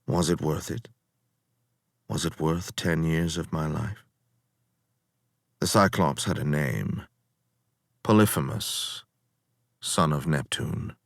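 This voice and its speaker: A man's voice: rumbling low, creaky, no echo, studio quality, heavily acted. A man performing a weary, proud ancient Greek hero who recounts his sea voyages as theatrical dramatic poetry, in a grave, declamatory manner. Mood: bitter, reflective. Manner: grave, declamatory, deliberate storytelling with dramatic swells.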